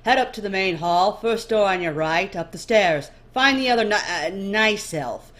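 A woman voicing a busy male recruiter at a fantasy fortress, brisk, loud and businesslike, in an audiobook. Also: mid-range voice, animated, pitched above the performer's own voice, natural voice. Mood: brisk, hurried.

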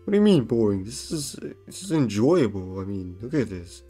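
A man's voice: fairly low pitch, sing-song, normal speed, dark tone, breathy edge, faint music behind. A man voicing a defensive, game-obsessed animatronic bear in a cartoon skit, casual but insistent.

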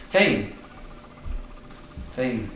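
Young man playing a slow, hesitant soliloquizing singer, echoey. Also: mid-range voice, a bit bright, heavily acted. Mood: pondering. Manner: theatrical, halting, deliberate delivery. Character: hesitant young amateur singer agonizing over fame. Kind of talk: student parody of a Shakespearean soliloquy. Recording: echoey room, distant mic, background hiss.

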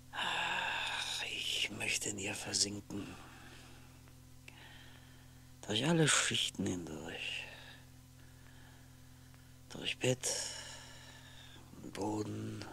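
Weary lonely ageing man in a 60s radio play, lowish gravelly voice, brooding to himself, faint noise. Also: fairly bright tone, exaggerated voice. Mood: weary, sinking, longing. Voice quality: gravelly, often sinking into breathy whisper. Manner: halting, brooding, fragmented musing with pauses. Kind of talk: 1960s radio drama monologue.

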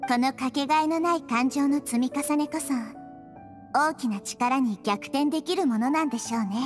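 Game role: actress as wise little goddess girl, high, soft, composed, over music. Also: normal speed, natural voice. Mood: reflective. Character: small, gentle, wise childlike girl deity. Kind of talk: Japanese anime-style game voice acting.

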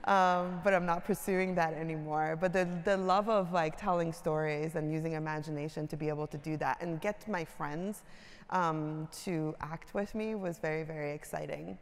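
A middle-aged woman from Iran telling her life story on a conference stage, with a slow, humorous manner full of ums. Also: low voice, steady tone, smooth. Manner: warm-humored, drawn-out, filler-heavy. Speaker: creative director, speaker. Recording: hall reverb.

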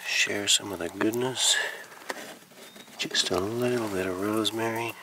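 A deep-voiced man narrates his camp cooking in an easy, unhurried murmur. His voice is picked up from a few feet away by an outdoor camera. A clear background hiss sits under it. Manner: relaxed, murmuring while tasting food. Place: forest campsite outdoors. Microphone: camera mic a few feet away. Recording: distant outdoor camera audio with noise.

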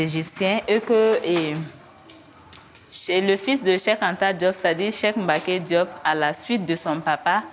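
A woman's voice: on the low side, slight background noise. A woman giving an explanatory talk about exhibits as a guide.

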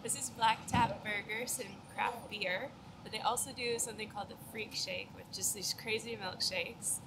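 A woman's voice: medium pitch, steady tone, normal speed, vocal fry. A woman in her thirties chatting casually as she reviews restaurants on camera, laughing often and giving frank ratings. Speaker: travel vlogger. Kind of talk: casual food review chat. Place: busy city streets and restaurants, indoors and out. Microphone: handheld camera at arm's length. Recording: loud street crowd and traffic noise. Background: crowd chatter and traffic.

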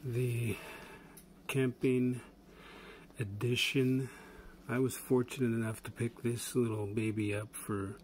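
A man casually demonstrating a pocket knife at a table, relaxed and rambling, with chuckles and filler words. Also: medium pitch, slow pace. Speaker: channel host reviewing a pocket knife. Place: indoors at a wooden table. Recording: steady background noise with handling clicks.

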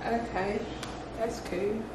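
A woman speaks casually at a medium pitch, blunt and sure of herself. She sounds a little off-mic in a small, echoey room. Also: fairly even intonation, slow. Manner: blunt, self-assured. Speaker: hairstylist and makeup artist. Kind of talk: casual chat. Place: small room indoors, off camera. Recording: distant echoey mic, clearly noisy room.

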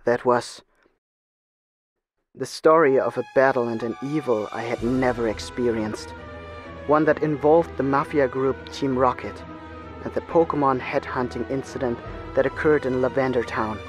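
A man's voice: mid-range voice, normal speed, over music, close to an everyday voice. Man playing a grave young anime hero. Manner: grave, resolute. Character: serious, determined young male hero trainer. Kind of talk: dramatic anime-style voice acting.

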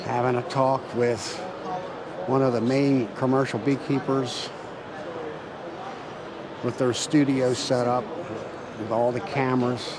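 A man hosting a walking tour of a convention hall, narrating in a relaxed, plain-spoken way while filming. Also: medium pitch, slow, long pauses, crowd noise. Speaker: channel host. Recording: noisy trade show hall.

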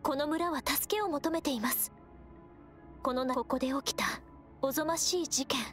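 A gentle, perceptive young scout from an anime game, played by a woman. Her voice is high and she speaks politely, in measured and earnest phrases. Soft music runs behind her. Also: lightly acted. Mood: earnest, concerned. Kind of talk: Japanese anime game voice acting.

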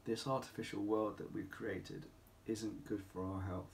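A middle-aged man speaking as a clinic doctor, explaining to camera in an emphatic, deliberate way with rhetorical questions. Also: lowish voice, flat delivery, gravelly, slight background noise. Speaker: doctor at a men's health clinic. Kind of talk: informal explainer talk to camera. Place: small home office or consulting room, indoors.

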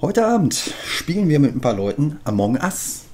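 A man in his thirties hosting a casual gaming video from a home desk, animated and jokey, swearing when flustered. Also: a little high, moderate pace. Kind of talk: casual gaming chat with friends.

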